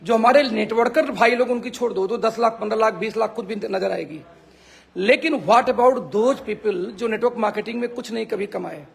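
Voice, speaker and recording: A man with a hoarse, gravelly voice that sits high for a man. He leads a sales team and gives an emphatic, pep-talk style live stream, hammering points home by repeating them. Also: moderate pace. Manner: emphatic, repeats phrases for effect. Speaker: network marketing team leader. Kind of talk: motivational Facebook live talk.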